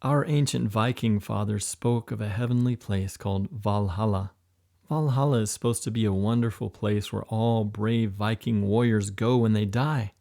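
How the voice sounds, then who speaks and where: A man voicing an emotional special agent in an audiobook, tender and frightened by turns, talking higher than his narration. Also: steady tone, moderate pace, creaky, mellow. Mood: fearful.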